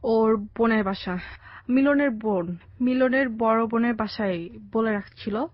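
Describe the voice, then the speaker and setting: A young Bangladeshi woman with a fairly high voice recounts her own life as a guest. She talks in a halting, conversational way and drops in English words. The recording is dry and a little dull. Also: no room sound. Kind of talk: conversational personal storytelling. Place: radio studio. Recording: dry, slightly dull radio sound.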